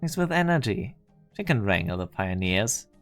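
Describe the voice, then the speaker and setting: A man's voice: on the low side, big pitch swings, normal speed, a bit bright, soft background music. A man playing a haughty, impatient research director in a game fan dub, coldly commanding and curt. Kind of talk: scripted anime game dub acting.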